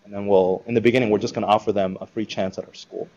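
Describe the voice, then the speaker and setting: A man giving an informal talk to a live audience, conversational and animated, acting out quoted reactions. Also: fairly low pitch, racing, dull audio. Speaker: speaker presenting a school project.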